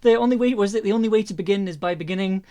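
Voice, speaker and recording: Female livestream host, medium pitch, informal, halting delivery with repeated words. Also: mellow. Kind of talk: casual livestream hosting. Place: online voice call.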